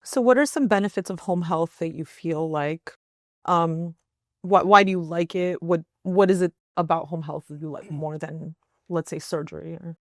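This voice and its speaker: A woman's voice: on the low side, normal speed, no echo, clean studio sound. A woman hosting a podcast interview, friendly and effusive as she introduces and questions her guest. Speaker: podcast host.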